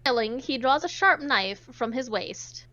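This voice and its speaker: Female host for dramatic story reading with irreverent asides; high, lively pitch, slightly dull sound. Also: measured pace, dry recording. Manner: sarcastic, irreverent. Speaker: host reading a visual novel aloud. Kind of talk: dramatic read-aloud with casual joking asides.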